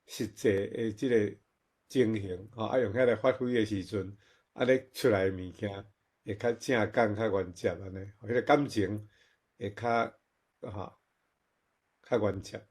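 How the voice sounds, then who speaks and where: A man with a Taiwanese accent giving casual comments at an online forum, speaking in a halting, conversational way and repeating himself. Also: fairly low pitch, fairly even intonation, a little mellow, slightly rough. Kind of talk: casual spoken reflection.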